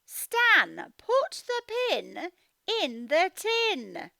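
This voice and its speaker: Woman acting an exasperated, high-pitched storybook mum. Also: wildly swooping pitch, vocal fry, sharp tone, no room sound, studio quality, exaggerated voice. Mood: stern warning. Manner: emphatic, drawn-out scolding. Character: exasperated cartoon mum scolding her young son.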